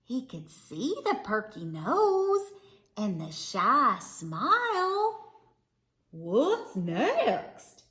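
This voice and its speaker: Lady reading a kids story out loud, bright voice, takes it slow, really expressive, echoey room. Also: on the high side. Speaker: children's read-aloud storyteller. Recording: echoey, roomy sound.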